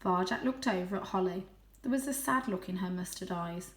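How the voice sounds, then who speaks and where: A woman reading a children's story aloud as expressive narration. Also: fairly low pitch, fairly quick, roomy sound.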